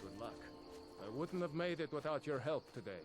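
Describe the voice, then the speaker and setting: An actor playing a rugged, earnest rebel soldier in a fantasy video game, speaking in measured, declarative lines. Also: male voice, a little high, big pitch swings. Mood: urgent, earnest. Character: rugged male rebel soldier guiding an escapee, earnest and loyal. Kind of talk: scripted fantasy game dialogue. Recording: game music and effects behind. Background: game soundtrack music.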